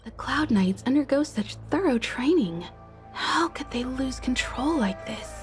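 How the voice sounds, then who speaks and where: A woman's voice: high voice, expressive, unhurried. An actress playing a troubled, questioning female soldier in a fantasy video game. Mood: dismayed. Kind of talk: scripted video game dialogue. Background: loud music.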